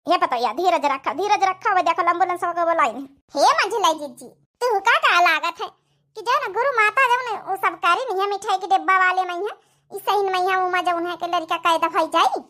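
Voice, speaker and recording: A woman's voice: very high voice, fairly quick, bright, forceful tone. A woman voicing dramatic, exclamatory village in-laws in a cartoon comedy, with an Awadhi accent. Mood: conspiratorial. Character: scheming young daughters-in-law and fretting mother-in-law, village family.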